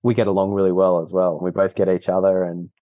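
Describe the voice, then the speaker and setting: A man with an Australian accent, chatting casually as a podcast guest, relaxed and often laughing. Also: sits low, fast talker, dry recording. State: injured foot, on painkillers. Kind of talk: casual interview chat.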